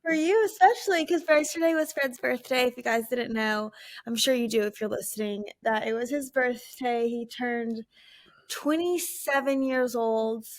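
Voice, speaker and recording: A woman in her late twenties co-hosting a casual podcast, soft-spoken and a little tired, often giggling. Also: on the high side, moderate pace, no echo. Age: late 20s. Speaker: podcast co-host. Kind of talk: casual chat.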